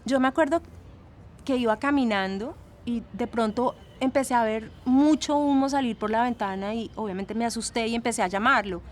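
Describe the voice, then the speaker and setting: A woman's voice: on the high side, moderate pace, a little hiss or hum, lightly acted. A woman playing a troubled young student, giving earnest, conversational testimony in an audio documentary drama. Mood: troubled, puzzled. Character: troubled young female student witness, sympathetic. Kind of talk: casual acted documentary testimony.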